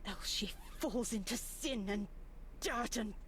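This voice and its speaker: An actress playing a stern, sanctimonious nun in a visual novel, haughty, pious and reproachful. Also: female voice, a little high, slow pace, slight background noise. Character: stern, sanctimonious nun, scolding her young charges. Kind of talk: dramatic visual novel voice acting.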